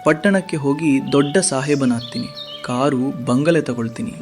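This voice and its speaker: A man's voice: medium pitch, normal speed, darkish tone, faint music behind, natural voice. A man playing an ambitious, confident village boy, boastful and determined, in a dramatised moral story. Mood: eager, boastful.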